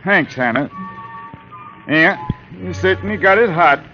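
A man's voice: high voice, lively intonation, unhurried, music in the background. A man playing a stubborn, grumpy Massachusetts farmer in a 1940s radio drama, talking in a folksy, terse grumble. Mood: grumbling, reluctant. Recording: dull vintage 1940s radio recording.

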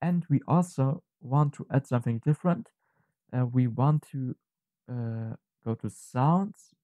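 A man presenting an unscripted software tutorial, explaining step by step in a halting, hesitant way. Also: mid-range voice, no echo, muffled recording.

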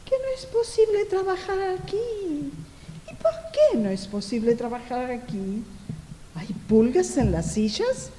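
A woman's voice: sits high, big pitch swings, measured pace, mellow, slight background noise. A woman playing a stern, sarcastic, authoritarian female office boss in a radio play. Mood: sarcastic, indignant. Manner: haughty, sarcastic. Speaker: amateur radio theatre actor. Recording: reverberant echoey room.

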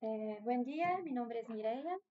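Brazilian woman presenting in halting Spanish. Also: fairly high pitch, expressive, dark tone, muffled recording. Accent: Brazilian Portuguese. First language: Portuguese. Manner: careful, with frequent hesitations and restarts. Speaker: conference speaker. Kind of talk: conference presentation. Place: large conference room.

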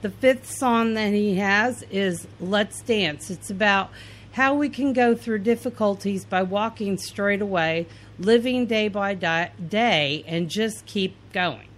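A woman's voice: medium pitch, slow pace. A woman podcast host from Indiana, reading aloud both sides of an interview in a plain, earnest way with some fillers and restarts.

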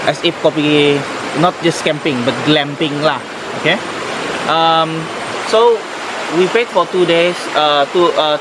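A young man giving an informal review on camera outdoors, switching between English and Malay with hesitant fillers. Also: a little high. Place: outdoors at a forest campsite. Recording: noisy outdoor recording.

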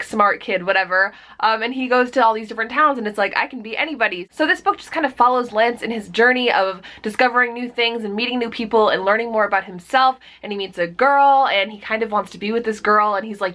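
A woman's voice: on the high side, a bit bright. A young woman chatting to camera from a small bedroom, recommending books in an upbeat way, often saying "like" and "kind of". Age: young adult. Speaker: book vlogger. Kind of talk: casual book recommendations to camera.

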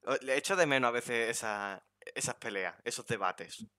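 A man's voice: mid-range voice, normal speed, bright, forceful tone, no room sound, clean studio sound. A young man from Spain with a Spanish accent, hosting a casual podcast chat on a headset and joking around with his guest. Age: young adult. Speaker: podcast host. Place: bedroom streaming setup.

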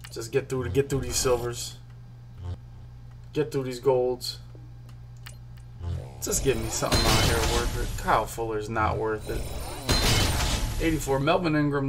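A young man from Philadelphia, a gaming streamer, chatting casually and excitedly to his chat while opening card packs in a small room at home. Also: medium pitch. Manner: chatty, hyped, talks to chat while clicking. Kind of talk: casual pack-opening commentary.

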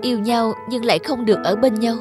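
A man playing a shy young peasant in love in a cartoon fairy tale, hesitant and soft-hearted. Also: very high-pitched, fairly even intonation, fairly quick, over music. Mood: tender. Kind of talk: animated fairy tale acting.

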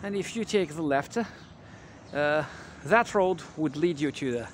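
A man with a fairly high voice narrates a relaxed walking tour outdoors, stopping and restarting often with plenty of fillers. He talks into a camera mic as he walks. Street noise and passing traffic are clearly heard behind him. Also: takes it slowly. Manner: hesitant, many fillers and restarts. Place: quiet residential street outdoors. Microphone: handheld camera mic while walking.

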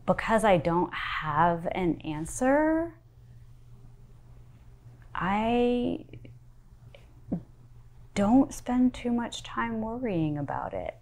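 A woman in her forties, a pastor, answering interview questions in a thoughtful, measured way, recorded with a lapel mic in a wood-panelled study. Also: a little high, sing-song, a little mellow. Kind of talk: sit-down interview.

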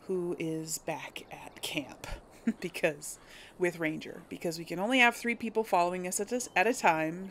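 A woman's voice: lowish voice, slight background noise. A woman giving casual, chatty gameplay commentary to her viewers, thinking aloud as she plays. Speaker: let's player hosting a gameplay series.

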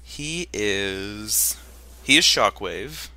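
A man's voice: on the low side, lively intonation, drawn-out words, sharp tone. A man chatting casually as a co-commentator on a video game playthrough. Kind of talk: casual gameplay commentary chat.

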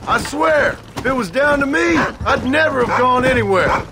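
Gruff rancher dad, gravelly, higher-pitched, rural Western American accent, terse, patient, game dialogue over music. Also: male voice, moderate pace, very noisy. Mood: earnest, placating. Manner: steady, terse, folksy. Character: gruff, patient rancher father of the Old West. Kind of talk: video game acting.

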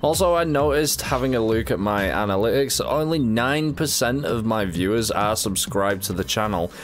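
A man's voice: on the low side, animated, normal speed, slight background noise. A man in his thirties hosting a casual gameplay stream, joking and laughing often while he has a cold. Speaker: gaming channel host.

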